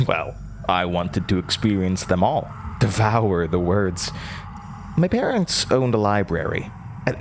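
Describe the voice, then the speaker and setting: A man's voice: lowish voice, a little hiss or hum. A man playing a gleeful, unsettling word-devouring entity in a horror audio drama, theatrical and savoring each word. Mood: wistful, eager. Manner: theatrical, giggling, savoring words.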